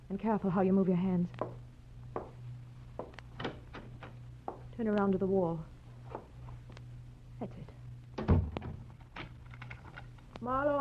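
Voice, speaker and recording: An actress playing a cool, resolute married murderess in a 1940s radio drama, calm and deliberate. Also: female voice, mid-range voice, close to an everyday voice. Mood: commanding. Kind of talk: 1940s radio noir acting. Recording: tinny narrow-band old radio, hum, faint noise.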